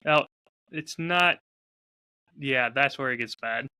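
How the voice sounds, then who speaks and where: A man's voice: mid-range voice, takes it slowly, bright, forceful tone, clean tone. A man chatting casually as a podcast co-host, with a wry, self-deprecating way of talking. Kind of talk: casual chat.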